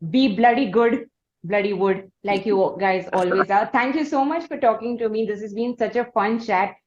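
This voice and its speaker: A woman's voice: medium pitch, normal speed, a little mellow, slight background noise. A woman with an Indian accent hosting a conversational interview show, heard on a dull, roomy recording. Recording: dull, echoey room sound.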